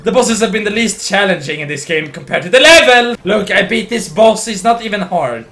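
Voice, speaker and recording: Brief: young drunk Swedish man, giggly rambling gamer, high bright voice that swings a lot. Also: expressive, brisk. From Sweden. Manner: rambling, giggly, slurring and restarting words. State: voice going hoarse. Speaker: livestream gamer. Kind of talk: casual drunk gameplay commentary.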